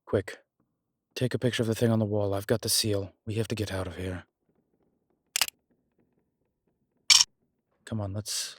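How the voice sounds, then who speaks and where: A man performing a gruff incubus investigator for an audio roleplay. The voice is deep and rough-edged, recorded close and dry. He talks in terse, commanding, everyday phrases, protective of his companion. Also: dry recording. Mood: urgent, alarmed. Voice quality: low, husky. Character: gruff, urgent male incubus demon investigator, protective, wryly friendly. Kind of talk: immersive audio roleplay acting.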